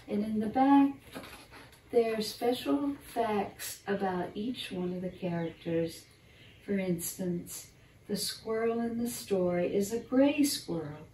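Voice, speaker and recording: An older woman reads a children's picture book in a gentle, unhurried, expressive bedtime style, talking to young listeners. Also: mid-range voice. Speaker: storyteller reading a picture book. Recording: roomy, distant mic, faint background noise.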